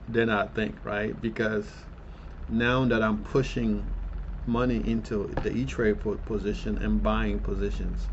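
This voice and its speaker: A man's voice: mid-range voice, darkish tone, highs cut off, a little hiss or hum. A man in his fifties hosting an investing channel, talking casually and rambling as he walks through his portfolio, with lots of ums and restarts. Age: 50s. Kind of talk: casual walkthrough of a portfolio tracker. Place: Colombia.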